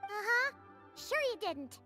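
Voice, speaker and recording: A tiny, pouty fairy sidekick from a video game. The voice is extremely high and childlike, bouncing around with chirpy, whiny indignation over clearly audible music. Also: female voice, big pitch swings, slow pace, sharp tone, full character voice. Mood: indignant, suspicious. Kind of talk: video game character dialogue. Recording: music playing behind.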